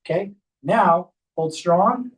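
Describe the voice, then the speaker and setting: A man's voice: sits high, takes it slowly, recorded from a distance. A man, a chiropractor, giving a hands-on demonstration workshop and explaining each step as he guides a volunteer. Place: clinic workshop room.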